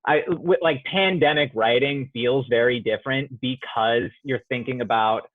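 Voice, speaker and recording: A thoughtful male playwright talks through his answers slowly, circling back with "um" and "like" as he restarts his sentences. His voice sits at a middling pitch. The sound is thin and phone-like, close and dry with no room around it. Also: dry recording. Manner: reflective, many fillers and restarts. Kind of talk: interview answers. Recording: tinny phone-like line, dry.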